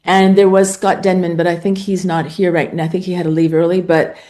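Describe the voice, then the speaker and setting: A woman's voice: lowish voice, little pitch movement, a little fast, dark tone. A woman hosting a book club over a video call, speaking conversationally as she recounts a past event.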